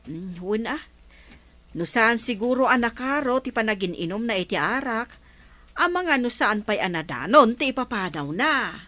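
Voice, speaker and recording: An actress playing a chatty, knowing village gossip in a radio comedy drama. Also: female voice, fairly high pitch, animated, moderate pace, pushed and bright. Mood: confiding.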